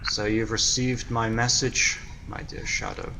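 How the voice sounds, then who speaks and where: An actor playing a coolly polite, menacing master criminal in a 1940s-style radio drama, with formal, measured, mock-courteous phrasing. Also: male voice, on the low side, wildly swooping pitch, vocal fry, full character voice. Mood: genteel, mocking.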